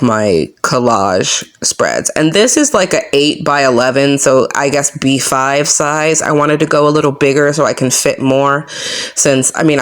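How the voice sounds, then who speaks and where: A woman with a low, monotone voice chatting casually about her reading journal as she crafts, unhurried and full of "um" and "like". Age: adult with young kids. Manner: unhurried, filler-heavy, lots of "um" and "like". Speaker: book journaling vlogger. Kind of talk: casual chat while crafting.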